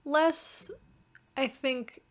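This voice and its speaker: A woman's voice: sits high, steady tone, very slow. A woman talking casually as a writer on a podcast, in a hesitant, reflective manner. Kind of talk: casual chat.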